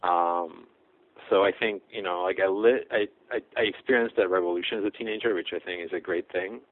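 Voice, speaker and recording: A man's voice: low voice, a little fast, dry recording. A middle-aged man from the Czech Republic, talking as a guest in a casual interview, hesitant and full of fillers. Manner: hesitant, many fillers and restarts. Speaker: guest, blacksmith. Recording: tinny phone-like audio.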